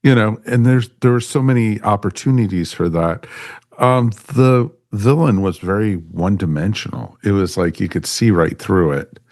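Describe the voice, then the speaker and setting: A man talking casually as a podcast panelist, speaking emphatically and quick to agree. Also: on the low side, darkish tone, no echo, clean studio sound. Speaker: podcast panelist reviewing audio drama. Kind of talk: casual conversational review.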